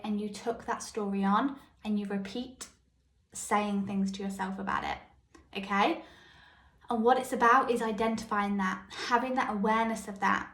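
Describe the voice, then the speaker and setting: A woman with a high, light voice hosting a solo self-help podcast. She tells personal stories and keeps checking in with the listener. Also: fairly bright tone. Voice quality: high, light voice that others called squeaky. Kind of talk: solo motivational podcast monologue. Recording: echoey room.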